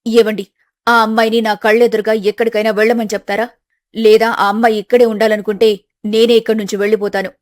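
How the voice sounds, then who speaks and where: A woman's voice: on the high side, little pitch movement, fast talker, lightly acted. A bitter middle-aged mother from a moral family story, acted out by a woman. She scolds in a harsh, emphatic way, full of grievance and accusation. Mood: furious, scornful.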